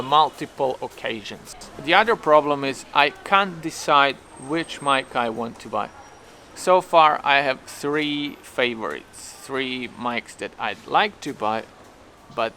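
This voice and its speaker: A man's voice: a little high, bright, forceful tone, slight background noise. A young man with a Serbian accent talking to camera in a hesitant, self-questioning way, often restarting his sentences, recorded outdoors while waiting for a taxi. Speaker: vlogger. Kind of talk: casual thinking-aloud vlog.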